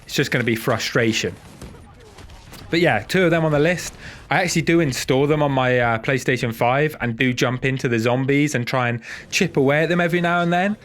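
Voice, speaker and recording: A man's voice: mid-range voice, brisk, fairly bright tone. Man, stuffy nasal voice from a cold, casual gaming chat, self-mocking. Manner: chatty, self-deprecating. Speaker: gaming channel host. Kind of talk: casual video commentary.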